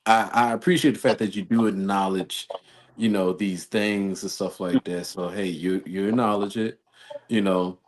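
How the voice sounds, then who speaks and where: A man's voice: lowish voice, steady tone, moderate pace. An American man in a casual talk-show chat, rambling through a personal story as host. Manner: rambling, storytelling with quoted exchanges.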